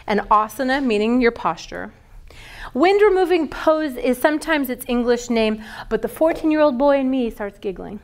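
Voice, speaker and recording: A middle-aged woman teaching a yoga teacher training class, lively and quizzing her students with questions. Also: sits high. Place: large wooden-floored yoga studio. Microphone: far camera.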